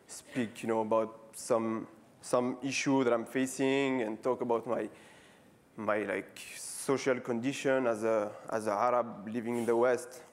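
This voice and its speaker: A man in his thirties with a French accent, giving a casual conference talk on stage, halting often with fillers and restarts. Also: medium pitch, fairly even intonation. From France. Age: 30s. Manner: casual, friendly, repeating words. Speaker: street artist giving a talk. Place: stage before a live audience.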